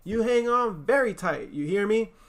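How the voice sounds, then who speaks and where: Man playing a high-pitched fussing farm mother. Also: lively intonation, normal speed. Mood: stern, anxious. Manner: scolding, emphatic warnings.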